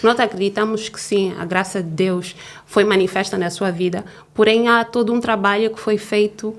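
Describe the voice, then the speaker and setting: A woman's voice: mid-range voice, fairly even intonation, normal speed. Encouraging woman podcast host. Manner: encouraging, with frequent affirmations. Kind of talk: interviewing.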